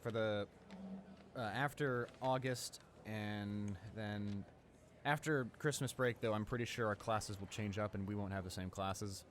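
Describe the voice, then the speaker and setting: A man playing a friendly, awkward, talkative high school student in a casual audio roleplay, speaking in a hesitant, rambling, informal way. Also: measured pace, a little hiss or hum, close to an everyday voice.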